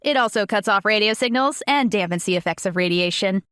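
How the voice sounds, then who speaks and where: A woman's voice: mid-range voice, brisk, a bit bright, smooth, no room sound, clean studio sound, natural voice. A woman playing a knowing, self-assured teenage girl villain in an audio drama, with a matter-of-fact, coaching manner.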